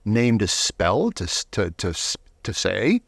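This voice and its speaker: A man speaking casually in a conversational interview, telling things emphatically with some halting phrasing. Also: lowish voice, huge pitch swings, creaky, dry recording, studio quality.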